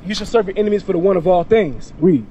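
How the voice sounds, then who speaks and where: Young man, high voice, emphatic outdoor street preacher on a handheld mic with faint traffic behind. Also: expressive, quick pace, warm tone, lo-fi sound. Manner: emphatic, questioning, repeats words. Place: outdoors by a parking lot.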